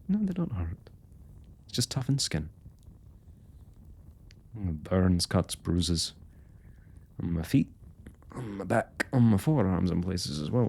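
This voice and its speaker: A man's voice: low-pitched, a little mellow, husky, exaggerated voice. A man playing a young royal blacksmith in an intimate fantasy roleplay, speaking to the listener in courtly, hesitant, old-fashioned phrasing. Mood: frank, teasing. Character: young male royal blacksmith, smitten, forthright, proud working man.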